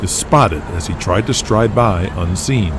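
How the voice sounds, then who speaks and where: A man's voice: low voice, expressive, measured pace, background noise. A man narrating a scripted audio drama in the present tense.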